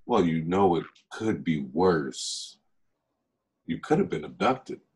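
Guy acting a smug teasing flirt, real low voice, slow talker, table read on a video call. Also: very animated, darkish tone, slightly rough, full character voice. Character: smug, teasing male seducer, smooth and unhurried. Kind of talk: film script table read, naturalistic acting.

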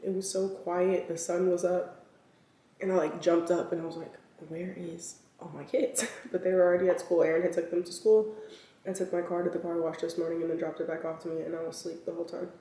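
Slightly raspy young woman vlogging at home. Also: on the low side, flat delivery, a little fast, warm tone. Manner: chatty, filler-heavy, talks while eating. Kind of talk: casual vlog chat. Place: home kitchen and walk-in closet. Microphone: camera set on counter at arm's length. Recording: echoey room, somewhat far from mic.

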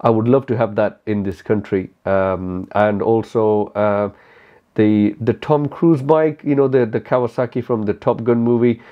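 A man in his forties with an Indian English accent, speaking candidly to camera in a casual question-and-answer video, halting with many fillers. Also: lowish voice. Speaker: motorcycle YouTuber. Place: small cozy room indoors. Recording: slightly dull lo-fi audio.

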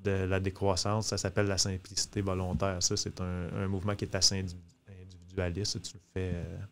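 A man in his thirties with a Quebec French accent, explaining things casually and haltingly as a guest on a studio mic. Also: sits low, level tone. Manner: hesitant, halting. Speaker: podcast guest. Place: small podcast studio, indoors. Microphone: close studio mic on boom arm.

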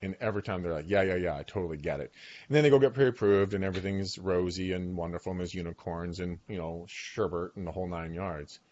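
A man in his forties hosting a podcast from his home office, talking with a guest over a video call and telling stories in an animated, talkative way. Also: deep voice, quick pace, dull audio. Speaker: podcast host. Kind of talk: casual video-call interview. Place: home dining room office. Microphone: boom mic on arm.

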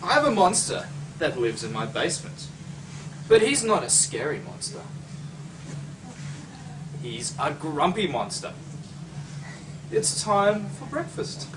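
A young man reads a children's storybook aloud in a high voice that swoops up and down. He takes his time, with dramatic pauses for young listeners. The microphone sits at a distance. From Australia. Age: young adult. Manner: expressive, dramatic pauses and exclamations. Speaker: picture book author reading aloud. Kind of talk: animated children's storybook reading. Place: library, among bookshelves. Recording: distant mic, noisy room ambience.

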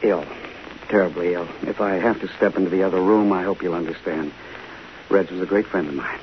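An actor playing a smooth, flirtatious Hollywood leading man in a 1950s radio drama. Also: low-pitched, steady tone, brisk, lightly acted. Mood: shaken. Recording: hissy, muffled 1950 radio broadcast.

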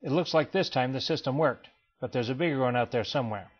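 A man narrates a training slideshow in a steady, explanatory manner, his voice sitting at an ordinary middle pitch. The recording sounds slightly dull and lo-fi, like a plain home voice-over. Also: breathy edge. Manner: measured, even explanatory delivery. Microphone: voice-over, not recorded in pictured place.

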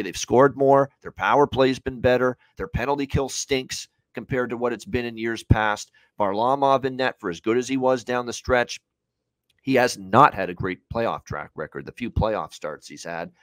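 A man's voice: mid-range voice, fairly bright tone, no echo. A man in his thirties giving casual, opinionated sports betting analysis, repeating points for emphasis. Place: indoor room on a video call.

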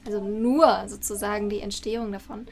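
A woman's voice: medium pitch, steady tone, fairly quick, darkish tone. A woman in her thirties interviewing conversationally over a video call from an indoor room. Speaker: interviewer, co-host.

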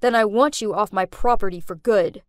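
A woman voicing a young man, a hurt boyfriend who talks hesitantly, then gruffly. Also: medium pitch, normal speed, no echo, clean studio sound, natural voice. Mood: gruff accusing. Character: man, young adult boyfriend, wounded and curt.

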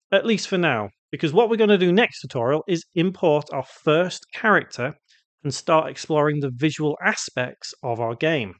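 A man with a fairly high-pitched voice talks through a software tutorial step by step. His delivery is casual and instructional, unscripted. The voice-over is close and dry, with very little room sound. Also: dry recording. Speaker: tutorial presenter.

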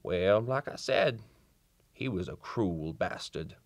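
A folksy old country doctor, lively. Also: male voice, low voice, takes it slowly, vocal fry, dry recording, exaggerated voice. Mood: folksy storytelling. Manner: folksy, drawling storyteller. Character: kindly old small-town Southern country doctor. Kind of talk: old-time radio drama acting. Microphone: shared vintage-style studio microphone.

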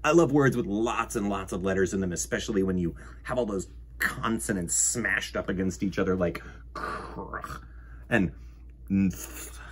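A man in his forties hosting children's storytime, reading and chatting in a theatrical, expressive way. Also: sits low, moderate pace, a little hiss or hum. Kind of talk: animated picture-book reading and chat for children. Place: indoor room with bookshelves.

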